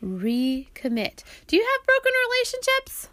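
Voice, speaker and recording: A woman narrating an audiobook she wrote, pausing to prompt and question as she teaches a child. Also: high voice, wildly swooping pitch, fairly bright tone, no echo. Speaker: author reading own book aloud and parent.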